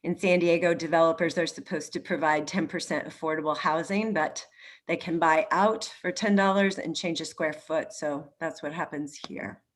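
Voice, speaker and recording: A woman hosting an online discussion in a casual, reflective way, haltingly repeating phrases as she thinks, on a video call. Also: low voice, steady tone. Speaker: host of an online discussion after a play reading. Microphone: video call microphone.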